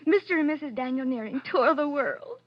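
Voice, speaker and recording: An actress playing a devoted, urgent, sharp-tongued young sweetheart in a melodramatic 1940s radio play. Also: female voice, sits high, a little fast, lightly acted. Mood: loving, excited. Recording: dull lo-fi old radio recording.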